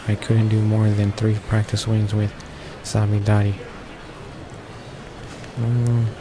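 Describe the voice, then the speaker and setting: A man reading visual novel text aloud for a gaming video, halting now and then with stumbles and repeated words. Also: fairly low pitch, noisy background. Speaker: let's play host reading along. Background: game music.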